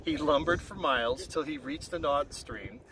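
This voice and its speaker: A man reading a rhyming children's story aloud in an expressive, singsong way. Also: on the high side, normal speed. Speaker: storybook reader. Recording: very noisy, windy, distant-mic recording.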